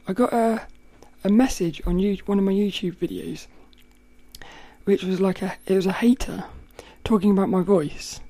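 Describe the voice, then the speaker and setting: A woman with a rough, cracking voice, hosting a podcast and thinking aloud in a rambling way, often laughing. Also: medium pitch, normal speed, warm tone, dry recording. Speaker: podcast host. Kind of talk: casual reflective monologue.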